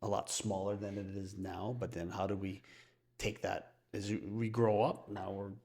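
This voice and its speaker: A man's voice: fairly low pitch, level tone, normal speed, vocal fry, dark tone. A man co-hosting a casual podcast, talking reflectively and often checking in with his listeners. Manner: conversational, peppers talk with check-in questions. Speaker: podcast co-host.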